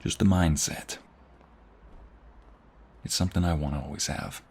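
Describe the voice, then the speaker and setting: A man playing an affectionate, teasing young husband in an intimate ASMR roleplay, speaking tenderly in a hushed, husky, gravelly voice. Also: very deep voice, steady tone, normal speed, heavily acted. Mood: earnest, reflective. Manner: tender, teasing, hushed, lingering delivery with sighs and soft chuckles.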